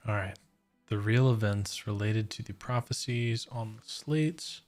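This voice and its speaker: A man's voice: on the low side, measured pace, a little mellow, soft background music. A man livestreaming a game, commenting casually and reading on-screen text aloud.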